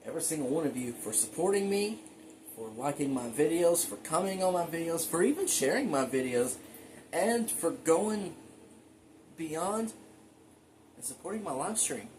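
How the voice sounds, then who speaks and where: A man hosting a casual home show-and-tell video, speaking informally and a little haltingly with enthusiastic asides. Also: fairly high pitch, big pitch swings, darkish tone, slight background noise, poor audio. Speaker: hobbyist video creator and host. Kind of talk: casual show-and-tell narration of a radio collection. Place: indoors at home.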